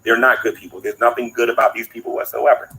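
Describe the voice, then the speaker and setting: Brief: male commentator, gravelly and accusing, preachy. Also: mid-range voice, fairly even intonation, fast talker, sharp tone, rough recording. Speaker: YouTube commentator in a played clip.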